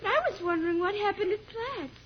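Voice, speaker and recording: A woman's voice: very high-pitched, fast talker, crowd noise. A woman playing an anxious, inquisitive little girl in a plaintive, earnest vintage radio drama style. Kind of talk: 1950s radio drama acting. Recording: muffled vintage radio recording.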